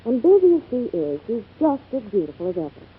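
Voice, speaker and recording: A woman's voice: fairly high pitch, very animated, a little fast, breathy edge. Chatty 1940s radio woman, tinny recording. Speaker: radio reporter in commercials. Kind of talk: scripted 1940s radio advertising.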